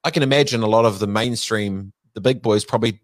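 A man's voice: on the low side, fast talker, no echo, clean studio sound. A man interviewing a guest, thinking aloud with many fillers before asking probing questions. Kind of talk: interview conversation.

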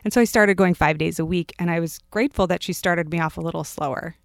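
A woman in her thirties from California, speaking with a fairly low pitch for a woman. She tells stories from her childhood casually and with animation. Her voice sounds dry and close to the mic. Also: quick pace, creaky, no room sound. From Palo Alto, California. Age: 30s. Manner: animated storyteller, acts out quotes. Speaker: podcast guest. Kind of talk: casual interview storytelling.

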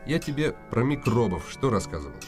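A man playing a brooding, wry nobleman in a radio drama, speaking in a deliberate, ironic, commanding way. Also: medium pitch, sing-song, darkish tone, lightly acted. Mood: impatient, exasperated. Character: nobleman hero, brooding and wry, impatient with his servant. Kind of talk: radio drama acting with inner monologue. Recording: music behind the voice.

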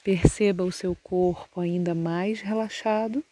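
Brazilian lady doing guided relaxation, really slow and soft-toned, calm, lots of pauses. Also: on the low side. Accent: Brazilian Portuguese. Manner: calm, soothing, unhurried instructions with long pauses. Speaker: yoga nidra instructor. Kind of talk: guided meditation.

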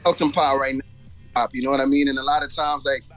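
A man's voice: mid-range voice, flat delivery, fast talker, fairly bright tone, faint music behind, rough recording. A man from Jamaica and Florida chatting casually as a rapper guest in an interview, telling stories in slangy talk with frequent asides. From born in Jamaica, grew up in Florida.

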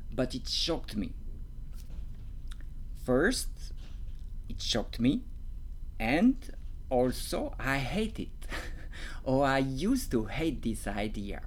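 Slow, hesitant Swiss French speaker. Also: medium pitch, darkish tone, a little hiss or hum, rough recording. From Switzerland. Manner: hesitant, searching for English words with many fillers and restarts. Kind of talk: casual talk sharing creative tips.

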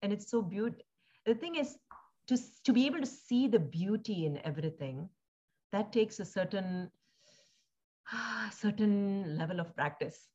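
A woman born in India and raised in Singapore, speaking thoughtfully as an interview guest, with frequent pauses, fillers and restarts. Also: medium pitch, animated, darkish tone, highs cut off. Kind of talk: reflective conversational interview.

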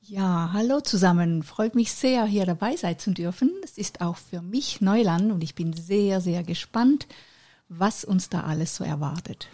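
A woman's voice: lowish voice, unhurried, mellow. A middle-aged woman, a life coach and podcast guest, speaking openly and reflectively in a casual interview. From Switzerland.

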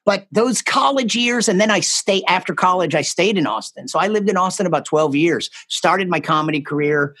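Texas-raised male comic, animated pitch, jokey and easy laughter, casual guest. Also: normal speed, few pauses. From Texas, USA. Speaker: guest comedian. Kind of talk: casual interview chat.

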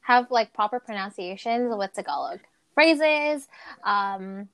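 A woman's voice: medium pitch, lively intonation, slow, fairly bright tone, no echo. A woman co-hosting a casual chat and running a quiz game, talking in a rambling way with many fillers and restarts, over a remote call. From Canada. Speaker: co-host. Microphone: remote call mic.

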